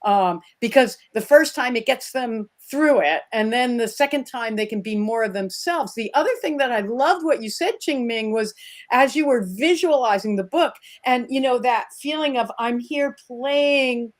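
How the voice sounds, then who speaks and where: Higher-pitched woman interviewing conversationally. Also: animated, normal speed. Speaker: guest interviewer, music teacher.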